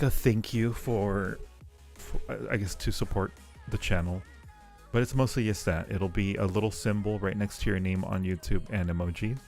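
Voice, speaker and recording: A man with a low voice and plenty of gravelly fry talks casually to his livestream viewers. His delivery turns halting when he explains things, and faint music plays behind him. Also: darkish tone. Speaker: streamer and audio roleplay creator.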